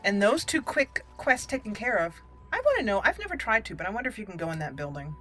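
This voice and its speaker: Female gaming host, bright forceful voice, excitable and chatty, casual playthrough commentary over music. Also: mid-range voice, fast talker. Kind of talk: casual let's play commentary. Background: game music under the voice.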